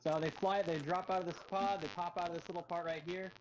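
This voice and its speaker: A man's voice: high-pitched, fairly even intonation, quick pace, slight background noise, poor audio. A man as a podcast guest, chatting casually about video games like an eager fan explaining details.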